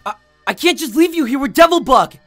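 A woman's voice: high voice, expressive, brisk, no room sound, soft background music. A woman voicing a flustered, stammering teenage boy in a fan cartoon comic dub. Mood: panicked. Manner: stammering, unsure.

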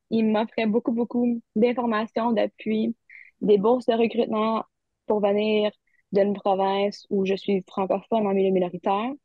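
A woman's voice: medium pitch, no echo. Chatty woman on a tinny line. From Barrie, Ontario, Canada. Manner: chatty, laughs easily. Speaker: university student guest. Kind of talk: casual conversation. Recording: dry, phone-like audio.

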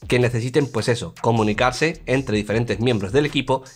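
A man, explaining a product in a clear and conversational presenter style. Music plays behind his voice. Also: medium pitch, brisk. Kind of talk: presenting a product review to camera. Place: indoor studio, grey backdrop. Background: background music.

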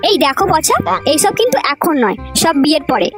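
A firm young cartoon girlfriend, performed by a woman, very high in pitch and rapid. She teases and chides affectionately, with music clearly behind her. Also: sing-song. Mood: chiding. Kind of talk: Bengali comedy cartoon dubbing.

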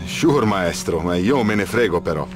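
A man playing a cowardly, excitable, whining guide in a video game, speaking broken English with an Italian accent. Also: deep voice, moderate pace, music in the background. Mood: brooding. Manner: dramatic, broken English, third-person self-reference. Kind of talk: video game character acting.

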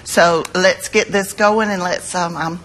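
A woman's voice: on the low side, fairly even intonation, a little slow, fairly bright tone, slight background noise, rough recording. An American woman, an art teacher, speaking as a guest in a live TV interview, earnest and emphatic.

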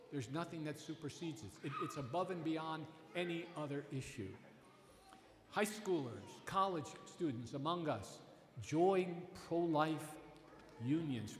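A middle-aged man, a priest, preaching a Sunday homily from a lectern in a church, earnest and emphatic, often restarting his phrases. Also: a little high. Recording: echoey church with long reverb.

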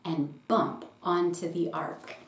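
Middle-aged woman, children's storybook read-aloud, unhurried pace, roomy echo, deliberate phrasing. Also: deep voice, fairly even intonation, gravelly. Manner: deliberate, short phrases with pauses. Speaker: pastor reading a children's picture book. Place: small indoor room with bookshelf. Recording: roomy echo with faint background noise.

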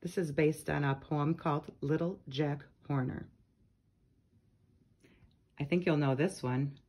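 Lady reading a kids rhyme book out loud, takes it slow, talks right to the little ones. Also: deep voice, fairly even intonation, slightly rough. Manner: addresses young listeners with questions and short comments. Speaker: picture book reader. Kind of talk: read-aloud of children's nursery rhymes, part sung.

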